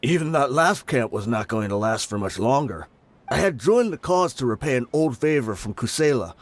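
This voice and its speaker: A man's voice: mid-range voice, animated, normal speed, no echo, lightly acted. A man playing a plain-spoken, conciliatory male mercenary in a video game, explaining himself in a resigned, matter-of-fact way. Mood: resigned, explanatory.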